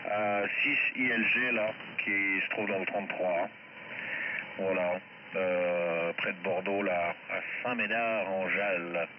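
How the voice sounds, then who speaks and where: Low-pitched man on static-filled shortwave radio. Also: fairly even intonation, slow pace, lo-fi sound. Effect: shortwave amateur radio transmission. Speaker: amateur radio operator. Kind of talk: casual radio contact chat. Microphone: heard through a radio receiver.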